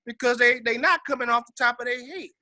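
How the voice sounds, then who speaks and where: A man's voice: extremely high pitch, fast talker, sharp tone, no room sound, clean studio sound. A man with a Texas Southern accent talking casually in an interview, emphatic and full of slang and swearing. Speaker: interview guest, hip-hop veteran.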